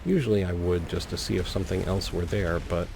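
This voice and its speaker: Low, creaky, playful man gaming. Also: fairly quick. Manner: playful, rambling, wry asides. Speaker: let's play host. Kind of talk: casual gameplay commentary. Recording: faint background noise.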